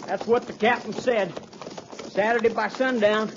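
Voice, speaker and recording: A man's voice: high-pitched, steady tone, normal speed. A man playing a weak, wounded, stoic cavalry trooper in pain, in a 1950s radio drama. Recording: muffled, noisy 1950s radio recording.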